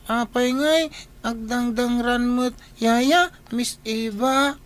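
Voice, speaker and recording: An actress with an Ilocano accent playing a young boy who talks haltingly and innocently in a radio drama. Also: on the high side. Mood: stubborn. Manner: halting, stammering repeats.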